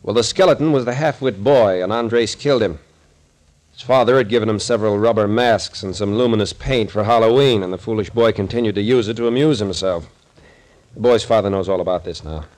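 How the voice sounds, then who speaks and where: An actor playing a tough, shrewd detective captain in a 1940s radio thriller, dry and commanding. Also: male voice, lowish voice, a little fast, a little mellow, lightly acted. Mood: matter-of-fact. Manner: matter-of-fact, dry, commanding.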